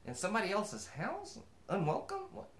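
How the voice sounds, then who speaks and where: A man's voice: high-pitched, wildly swooping pitch, moderate pace, fairly bright tone, roomy sound, heavily acted. A man voicing a chatty, opinionated mule who reads a storybook with folksy, disapproving asides. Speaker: host reading a storybook. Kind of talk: animated storybook reading with comic commentary.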